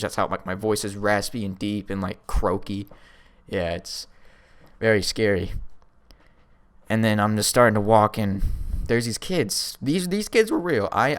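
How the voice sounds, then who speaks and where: A young man casually telling a first-person story in a rambling, slangy way, often with a chuckle. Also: lowish voice. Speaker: storyteller vlogger.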